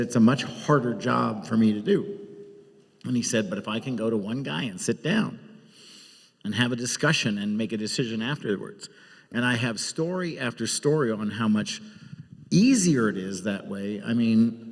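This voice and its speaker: Man telling stories in an echoey hall. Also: mid-range voice. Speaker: TV producer and showrunner, panelist. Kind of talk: live panel anecdote telling. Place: large hall at a live convention panel. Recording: echoey hall with long reverb.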